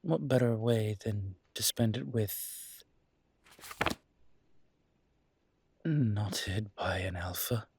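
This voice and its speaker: A man playing a charming, teasing mafia boss who flirts politely in an intimate roleplay audio drama, with playful pauses. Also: fairly low pitch, a little mellow, a little hiss or hum. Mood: playful flirting.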